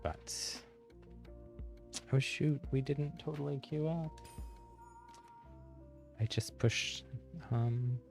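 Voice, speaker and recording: A man's voice: medium pitch, fairly even intonation, very slow, creaky, pauses often, darkish tone, soft background music. A man chatting casually on a game livestream in a rambling, easygoing way. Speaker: live streamer.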